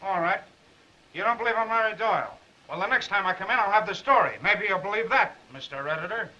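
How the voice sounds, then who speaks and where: A man's voice: high voice, expressive, fast talker, pushed and bright, full character voice. An actor playing a brash, wisecracking newspaper reporter down on his luck in a 1930s Hollywood film. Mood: indignant. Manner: cocky, wisecracking. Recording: muffled, noisy 1930s film soundtrack.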